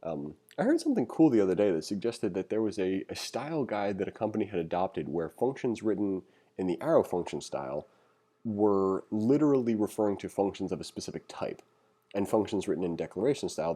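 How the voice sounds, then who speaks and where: A man's voice: sits low, warm tone. A man giving a casual, conversational programming tutorial as instructor, reading along and adding opinionated, self-deprecating asides.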